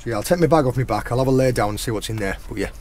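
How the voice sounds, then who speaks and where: A hoarse, croaky middle-aged man talks casually while foraging outdoors on a rainy rocky shore. His pitch sits in a middle range, and he keeps up a chatty flow. A faint hiss of noise runs under the voice. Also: lively intonation, racing, a little mellow. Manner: chatty, points things out as he goes. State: hoarse, croaky sore throat. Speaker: channel host and foraging guide.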